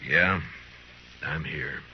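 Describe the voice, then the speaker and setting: An actor playing a stern, weary frontier marshal in an old-time radio western, laconic and authoritative. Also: male voice, extremely low pitch, drawn-out words, heavily acted. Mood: weary, firm. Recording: muffled, hissy vintage radio recording.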